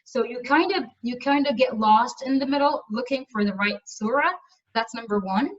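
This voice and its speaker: A woman lecturing in English, her first language Arabic, who keeps stopping and restarting her sentences. Her voice sits fairly high. The recording is muffled and she sounds well away from the microphone in a lecture room. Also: moderate pace, distant mic. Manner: hesitant, many fillers and restarts. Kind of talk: university lecture on translation.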